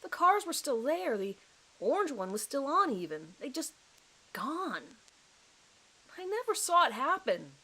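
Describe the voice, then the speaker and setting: Horror audio drama: rattled male suspect played by a woman, halting, stammering, bewildered, pleading innocence. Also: high-pitched, big pitch swings, bright, forceful tone, voice pushed up, full character voice, rough recording. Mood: bewildered, uneasy.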